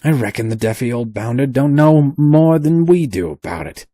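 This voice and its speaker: A man's voice: medium pitch, lively intonation, normal speed, mellow, dry recording, clean studio sound, voice pushed up, close to an everyday voice. Surly growling sailor, voiced by a man, a little raised. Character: rat-faced surly male sailor, grumbling.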